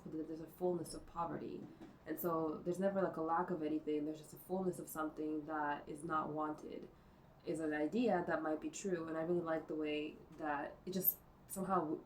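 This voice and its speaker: A woman vlogging from home, thinking out loud in a rambling way, full of fillers and cursing. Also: deep voice. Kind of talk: rambling think-aloud monologue. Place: indoors at home. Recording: distant mic with faint noise.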